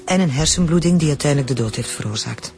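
A woman's voice: deep voice, animated, fast, a little mellow, faint music behind. Female forensic doctor, Flemish accent, frank, careful, explanatory, radio drama dialogue. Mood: explaining. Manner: explanatory, familiar.

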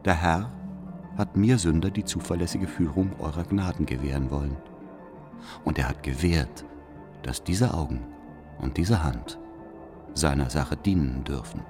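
Very deep-voiced servile spy. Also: male voice. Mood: servile, calculating. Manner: formal, deferential, measured. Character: devout, servile, calculating papal spy. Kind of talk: letter read as radio drama monologue. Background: faint music.

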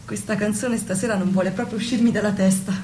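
Wistful, faithful young wife played by an actress, radio play, earnest and plaintive, mid-pitched, slight background noise. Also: female voice, fairly even intonation, fast talker, natural voice. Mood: wistful, musing. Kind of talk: stage-play dialogue with snatches of song.

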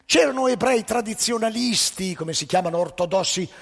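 Gravelly, animated male storyteller. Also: high voice, normal speed. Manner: lively, dramatic, telling jokes. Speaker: stage storyteller. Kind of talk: theatrical storytelling with jokes. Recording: live stage show in a reverberant hall.

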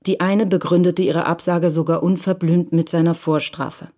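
A woman reading scripted narration for a radio crime drama in a composed, measured way. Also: on the low side, level tone, warm tone.

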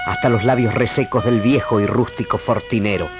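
A man's voice: on the low side, fairly even intonation, a little fast, over music. A man reading scripted narration for a radio drama.

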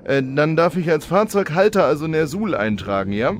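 A man's voice: fairly high pitch, expressive, normal speed, lightly acted. An actor playing a dry, officious traffic policeman with formal, bureaucratic manners in a comic radio play. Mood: suspicious.

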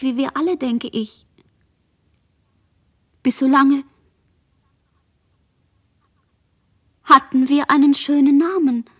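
A woman in a radio play, playing a dazed, traumatised girl who survived a massacre, in halting fragments. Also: sits high. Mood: haunted remembering.

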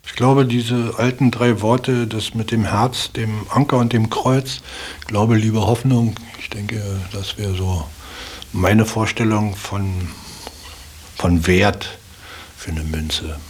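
A man giving a reflective interview answer in measured, informal words. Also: lowish voice, steady tone, a little hiss or hum.

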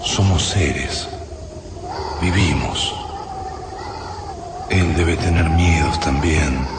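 Man acting very deep, echoing, brooding inner voice. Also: very noisy, heavily acted. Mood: reflective. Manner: reflective, halting. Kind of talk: radio drama inner monologue.

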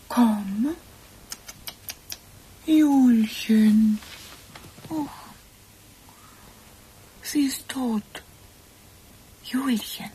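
An actress playing a troubled, distracted woman in a radio play, speaking haltingly and evasively. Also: a little high, mellow, slight background noise, poor audio. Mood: stricken. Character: troubled, distracted woman keeping others at bay.

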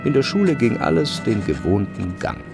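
Gravelly, expressive literary narrator. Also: male voice, mid-range voice, normal speed, music in the background. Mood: measured. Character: measured, literary male narrator of a novel. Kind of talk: scripted literary narration in a radio play.

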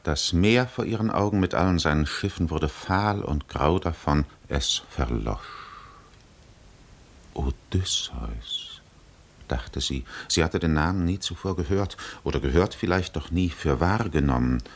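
An actor as the grave narrator of a 1950s literary radio drama, telling an ancient epic in a measured, dramatic manner. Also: male voice, very deep voice, lively intonation, creaky, heavily acted. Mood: wistful, measured. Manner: measured, dramatic, hushed at times.

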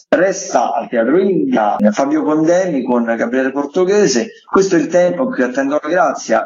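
A man giving conversational arts commentary as a radio critic, in a discursive, softened way. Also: mid-range voice. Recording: dull, faintly noisy remote connection.

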